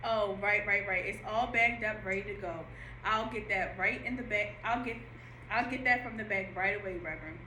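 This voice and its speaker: A woman's voice: medium pitch, moderate pace, close to an everyday voice. Woman playing a brash cafe worker, echoey room. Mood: flustered, eager. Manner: animated, slangy, bustling. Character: brash, chatty young woman working a cafe counter. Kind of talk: audio play read-through. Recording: echoey room with background noise.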